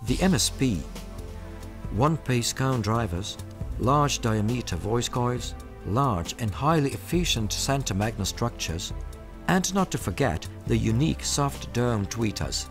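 A man reading scripted promotional documentary narration in a polished, measured manner. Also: medium pitch, lively intonation. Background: music.

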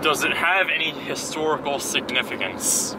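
A man's voice: on the high side, normal speed. A man reasoning out guesses with dry wit during a family car ride. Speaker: family member on a day trip. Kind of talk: casual family chat. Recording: loud noise, voice far from the mic.

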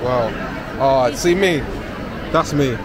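Excited man in a loud crowd. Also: medium pitch, takes it slowly, heavy background noise. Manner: enthusiastic, repeats words, exclaims often. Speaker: travel vlogger. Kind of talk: casual walking-tour chat. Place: crowded indoor food market. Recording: busy market crowd behind. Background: loud crowd chatter.

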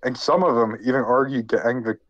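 A man podcast co-host who is sick, explaining history informally in a halting, jokey way. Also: mid-range voice, no room sound, lo-fi sound. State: sick with a cold.

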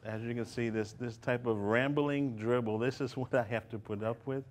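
A man defending himself in court, calm, measured and somewhat formal. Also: medium pitch. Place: TV courtroom set.

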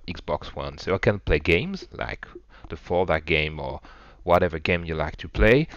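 A middle-aged man with a strong French accent talks through a tech demo, eager and loose, restarting phrases as he goes. His voice sits low. The sound is dry and a little dull, like a simple desk mic. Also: a bit bright, dry recording. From France. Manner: enthusiastic, loose and rambling with restarts. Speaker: web developer demonstrating software. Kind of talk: screen-recorded tech demo narration.

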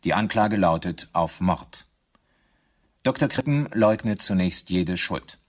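An actor as the narrator of a historical crime audio drama, formal, sober and factual. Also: male voice, deep voice, animated, slow, full character voice. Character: sober male narrator of a historical murder trial. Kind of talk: scripted documentary-drama narration.

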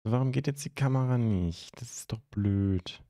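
A young man from Germany hosting a live stream, chatting casually and haltingly as he answers viewer comments into a close microphone. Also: medium pitch, warm tone, no room sound. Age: young adult. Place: indoor streaming room at home. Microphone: close microphone on a stand.

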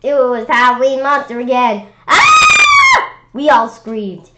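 A woman narrating a children's book aloud in a lively, expressive way. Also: a little high, normal speed, shouting, very noisy. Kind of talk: storybook read-aloud.

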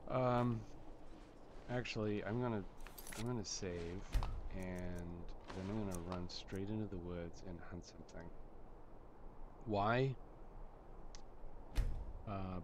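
A man's voice: sits low, takes it slowly, pauses often. A man giving casual, rambling gameplay commentary, musing to himself and repeating his phrases. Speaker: gameplay video host. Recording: very noisy, game ambience behind.

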